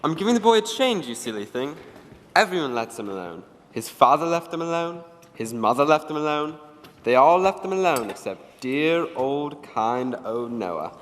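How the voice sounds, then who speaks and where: A man's voice: animated, normal speed, in a large hall, lightly acted. An actor playing a bullying young male undertaker's apprentice, taunting and sneering in a radio drama. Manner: sneering, taunting, shrill when frightened.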